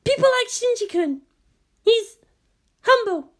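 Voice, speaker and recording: A woman's voice: extremely high pitch, expressive, takes it slowly, voice pushed up, exaggerated voice. A woman voicing an eager, shy young female tech assistant in an anime story, breathless and deferential. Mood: timid. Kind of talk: anime fan fiction character voice.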